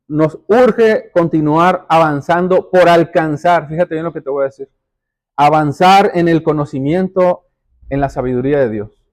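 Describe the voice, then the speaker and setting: Male preacher, high-register voice; instructive sermon delivery, direct address, emphatic repeats. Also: a little mellow. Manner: emphatic, repeats phrases for stress; didactic, explanatory, rhetorical questions.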